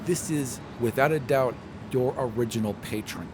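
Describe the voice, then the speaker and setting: A man's voice: mid-range voice, moderate pace, noisy background. A man narrating and explaining a tabletop game as game master.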